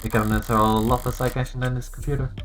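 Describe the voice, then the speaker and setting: A man's voice: medium pitch, little pitch movement, rapid-fire, faint music behind. A man streaming a game, reading its visual novel narration aloud.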